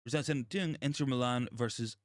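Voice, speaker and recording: Hoarse, gravelly man. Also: mid-range voice, quick pace, no echo, studio quality. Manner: repeats himself, frequent ums and restarts. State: hoarse after a virus. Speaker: livestream host. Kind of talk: casual live chat reading comments.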